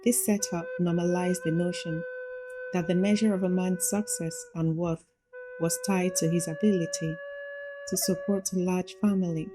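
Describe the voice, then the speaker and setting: Female narrator, lower register, measured and formal scripted storytelling, clear flute music underneath. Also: fairly even intonation, low-quality recording. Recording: music playing behind the voice.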